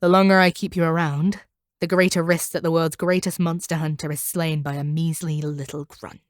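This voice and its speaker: Woman acting as a haughty, low-voiced female monster hunter. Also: level tone, no echo, studio quality, natural voice. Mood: grave, disdainful. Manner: haughty, stern, deliberate. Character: proud, ruthless female monster hunter, cold and commanding. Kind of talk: scripted audio roleplay acting.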